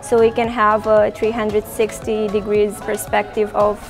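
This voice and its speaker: A woman's voice: medium pitch, monotone, a little slow, over music. An eighteen-year-old woman from Romania, a first-year student, talks casually about her studies with occasional fillers. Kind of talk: student testimonial.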